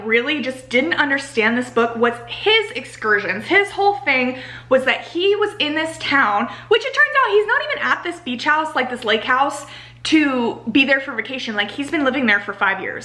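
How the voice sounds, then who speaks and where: A young American woman giving a casual, chatty book review in an animated, exasperated ranting manner, saying "like" a lot. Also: sits high. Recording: roomy home echo.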